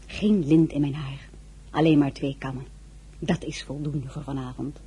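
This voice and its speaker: A woman's voice: sits low, fairly even intonation, moderate pace, warm tone, husky. An actress playing a spirited, stubborn young Frenchwoman who narrates her own story in a Dutch period radio drama. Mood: wistful narration.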